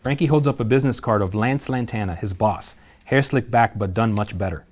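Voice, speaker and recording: A man reading out the stage directions at a table read of a screenplay, in a steady, matter-of-fact way. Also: on the low side, brisk.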